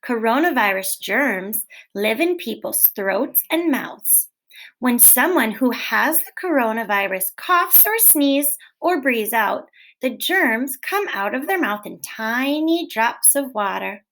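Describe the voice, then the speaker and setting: A woman teacher reading a children's book aloud in a gentle, clear, expressive way, posing questions to young listeners. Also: fairly high pitch, takes it slowly, poor audio. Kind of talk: read-aloud storytelling for children.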